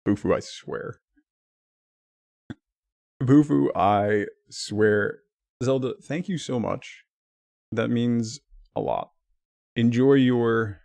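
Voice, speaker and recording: A man streaming live, chatting casually with his viewers and thanking them by name, his talk full of lively swings up and down. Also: lowish voice, creaky, no echo.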